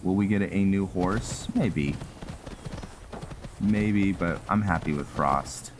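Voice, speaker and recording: A man's voice: low voice, slow pace, background noise. A man giving casual, rambling game commentary, thinking aloud as he plays. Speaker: gamer narrating a playthrough.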